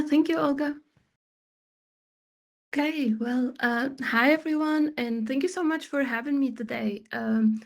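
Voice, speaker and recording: High, gravelly-voiced young woman presenter. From Tunisia. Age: 20s. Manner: upbeat, chatty, with many fillers and light laughs. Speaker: panel guest, XR developer. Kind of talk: presentation on a virtual panel. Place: remote online panel.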